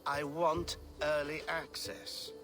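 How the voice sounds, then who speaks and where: Give the game a scheming abbot, pitched a little high and oozing smug courtesy. He speaks in polished, unctuous phrases as an ambitious churchman would. Game music plays clearly behind him. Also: little pitch movement, slow pace, pushed and bright, full character voice. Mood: scheming, insistent. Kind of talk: video game drama acting.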